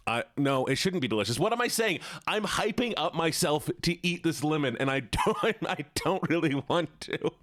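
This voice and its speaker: Animated male streamer with swooping pitch. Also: moderate pace, hardly any pauses, no echo, clean studio sound. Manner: animated, voice rises and drops. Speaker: livestream host. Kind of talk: casual livestream chat.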